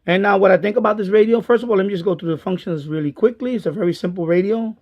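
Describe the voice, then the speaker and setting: A man giving a casual hands-on product review in a halting way, repeating himself as he goes. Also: high-pitched. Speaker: gadget reviewer and radio hobbyist. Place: basement of a house. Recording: dull lo-fi home video sound.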